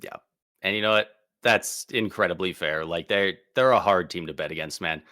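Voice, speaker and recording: Bright, excitable man talking hockey. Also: deep voice, normal speed, no room sound, clean studio sound. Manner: excitable, slangy, emphatic. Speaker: podcast co-host. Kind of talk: casual sports podcast chat.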